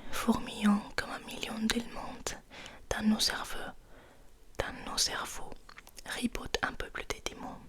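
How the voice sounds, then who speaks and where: A woman reads in a soft, breathy whisper for an ASMR poetry recording. Her delivery is very slow and deliberate, with measured phrases and pauses. The voice sits slightly high. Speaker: ASMR host reading poetry.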